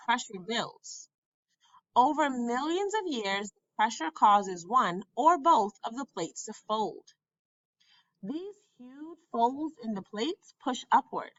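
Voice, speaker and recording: A woman reading a children's science book aloud with deliberate, emphatic phrasing, like a teacher for young learners. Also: a little high, a bit bright, dry recording, dull audio. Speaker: school staff member reading a textbook aloud.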